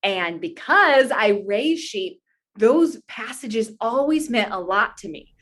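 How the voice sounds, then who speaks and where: A woman's voice: a little high, expressive, slow pace, a bit bright. A young American woman giving conversational interview answers as a podcast guest. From Indiana, United States. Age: young adult. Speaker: podcast guest, author and pageant titleholder.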